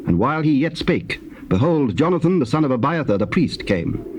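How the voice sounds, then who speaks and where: A man gives solemn narration of scripture in archaic English. His voice is of medium pitch, and clear tape noise runs through the old cassette recording. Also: sing-song, a little fast.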